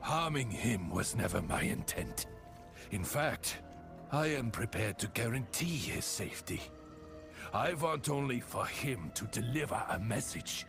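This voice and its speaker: An actor playing a defiant, righteous rebel leader in a video game, speaking with impassioned, formal oratory. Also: male voice, medium pitch, lively intonation, measured pace, gravelly. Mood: measured, resolute. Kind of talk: dramatic game voice acting. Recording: game music behind the voice.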